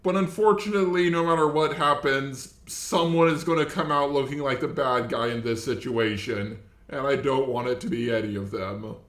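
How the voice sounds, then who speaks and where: A man's voice: medium pitch, moderate pace, few pauses, fairly bright tone, roomy sound. A man hosting an informal scripted commentary video, talking in a blunt, casual way with some swearing. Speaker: host, commentator.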